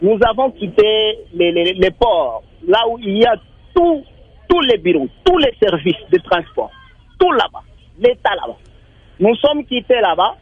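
A young man from Maniema calling in to a radio show, complaining in a halting way and repeating words. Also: high-pitched, very animated, breathy edge, no room sound, phone-quality sound. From Maniema, Congo. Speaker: radio caller. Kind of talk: call-in comment.

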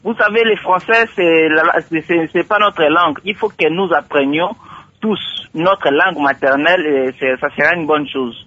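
A man with a Congolese accent giving his opinion as a radio caller, halting and repeating himself. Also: fairly high pitch. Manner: halting, repetitive. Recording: dull phone line on radio.

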